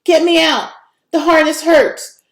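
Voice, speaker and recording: A woman's voice: high-pitched, measured pace, voice pushed up. A woman voicing an anxious, easily frightened young girl in a storybook reading, in a roomy recording. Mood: pained, whimpering.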